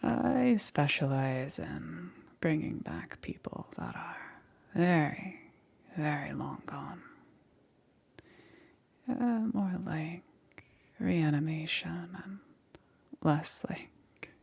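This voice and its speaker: A woman playing a calm, eerily gentle witch necromancer in an ASMR roleplay, breathy and often whispering, with unhurried pauses. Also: sits low, exaggerated voice. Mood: calm explaining. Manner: unhurried, halting with pauses, soothing. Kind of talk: ASMR roleplay, soft and intimate.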